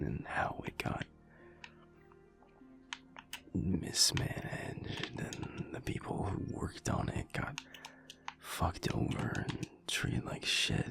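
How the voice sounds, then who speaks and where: A man playing a sad, wistful young alpha werewolf in an intimate audio roleplay, talking haltingly in a low, rumbly half-whisper. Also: vocal fry, a bit bright, heavily acted. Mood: bitter, regretful. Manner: halting, hesitant, trailing off.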